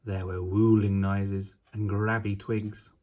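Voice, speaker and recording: A man narrating a children's storybook like a teacher reading aloud, deliberately and with dramatic pauses. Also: lowish voice, little pitch movement, dull audio.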